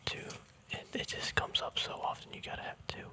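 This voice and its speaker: A man whispers throughout in a breathy, voiceless hush. He talks casually in an ASMR style, murmuring his commentary as if thinking aloud, with a hesitant, unscripted flow. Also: fairly quick. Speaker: ASMR video creator. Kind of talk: ASMR, casual rambling commentary on a card deck.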